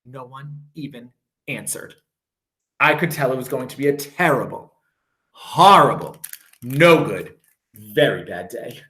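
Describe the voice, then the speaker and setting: A male teacher reads a children's picture book aloud. His voice is bright and crisp, at a middling pitch. He stretches out and stresses the repeated phrases for dramatic effect. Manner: expressive, drawn-out emphasis on repeated phrases.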